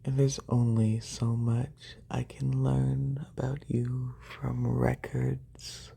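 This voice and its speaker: A man playing a haughty, wealthy lamia noble in a soft, breathy ASMR whisper, slow and deliberate, close to a binaural microphone. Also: medium pitch, flat delivery, full character voice. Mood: composed, condescending.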